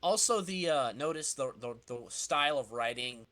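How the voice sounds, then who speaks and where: A man's voice: medium pitch, animated, moderate pace, a bit bright. A man co-hosting a podcast, chatting casually about comic books and acting out quoted lines as he goes. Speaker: podcast co-host.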